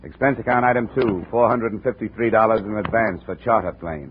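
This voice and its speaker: Man acting tough American investigator, lowish voice, clipped wry delivery, old radio drama, tinny narrowband sound. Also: fairly quick, a little hiss or hum, close to an everyday voice. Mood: dry narration. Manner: clipped, hard-boiled, wry. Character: tough, unflappable male insurance investigator. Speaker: lead actor and narrator. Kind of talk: 1950s radio detective acting.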